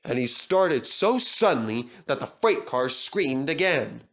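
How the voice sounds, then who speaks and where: A man tells a children's story as scripted narration, taking his time. His pitch is moderately high for a man and moves expressively. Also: sing-song, unhurried, fairly bright tone.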